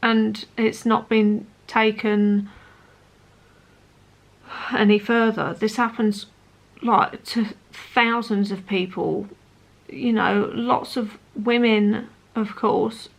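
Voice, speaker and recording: A woman in her late twenties talking personally to camera as a vlogger, in an earnest, hesitant, halting way. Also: a little high, creaky. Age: late 20s.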